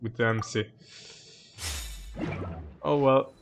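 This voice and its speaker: Halting male game streamer. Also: mid-range voice, steady tone, vocal fry, background noise. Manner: halting, informal, rambling. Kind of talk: casual livestream chat over gameplay.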